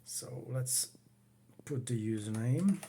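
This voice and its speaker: Brief: Czech man speaking English, mellow tone, plain easygoing hobbyist, home workroom, keyboard clicks behind. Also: mid-range voice, a little hiss or hum. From Czech Republic. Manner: unhurried, plain, talks while working the computer. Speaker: retro computing hobbyist. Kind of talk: casual home demo walkthrough.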